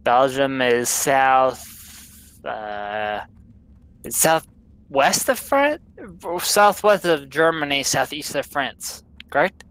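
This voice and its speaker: A man's voice: medium pitch, animated, takes it slowly, fairly bright tone, clean tone, low-quality recording. A young man with a Southern American accent, drunk, chatting while he streams a game, rambling bluntly and swearing. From Southern United States. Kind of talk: casual chat while gaming.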